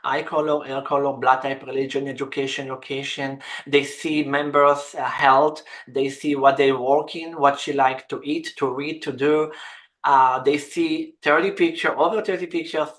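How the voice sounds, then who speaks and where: A woman running an egg donation agency, speaking in halting, non-native English on a webinar. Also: very deep voice, fairly even intonation. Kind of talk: webinar talk.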